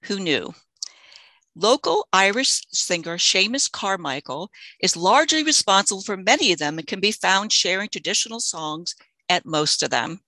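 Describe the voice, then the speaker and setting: A woman's voice: medium pitch, animated, normal speed, pushed and bright, no room sound, rough recording. A woman in her sixties hosts a video-call session from her living room, reading a friendly introduction and fumbling a little with the screen. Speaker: host of a folk music society session. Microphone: headset mic on a video call.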